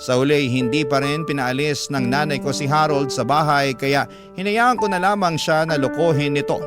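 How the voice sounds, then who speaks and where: Man, Filipino accent, slightly high pitch, dramatic first-person storytelling, scripted, loud music bed. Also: moderate pace. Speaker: story narrator.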